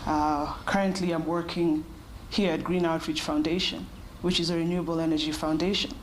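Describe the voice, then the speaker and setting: A woman, thoughtfully answering interview questions in a measured way with short pauses. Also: deep voice, steady tone, slight background noise, poor audio. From Kenya. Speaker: interview guest.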